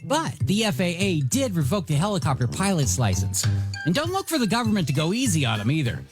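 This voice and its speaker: Playful, animated radio DJ over music. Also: medium pitch, a little fast. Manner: playful, joking, showy delivery. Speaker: radio DJ host. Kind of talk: energetic music radio DJ patter. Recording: music bed behind voice.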